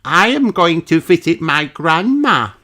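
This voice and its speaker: A man acts out a young girl pig from a children's storybook. The voice is pitched very high, bright with excitement and proud, with emphatic, childlike exclamations. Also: unhurried, exaggerated voice. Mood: theatrical.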